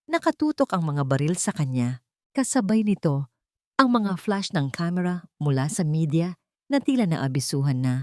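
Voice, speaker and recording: A woman's voice: fairly low pitch, darkish tone, no echo, studio quality. A woman with a Filipino accent narrating a dramatic scripted story.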